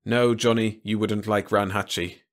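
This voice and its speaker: A gloomy, sardonic male crewman from a science fiction tale, as a man acts him out. He talks drily, savoring bad news, in a fairly low voice. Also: fairly even intonation, normal speed, close to an everyday voice. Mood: dreamy. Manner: dry, gloomily satisfied.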